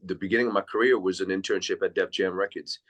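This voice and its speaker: Man from switzerland, deep low voice, reflective, rambling podcast guest. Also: fairly even intonation, fast, a bit bright. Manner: reflective, long winding answers. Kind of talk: casual interview storytelling.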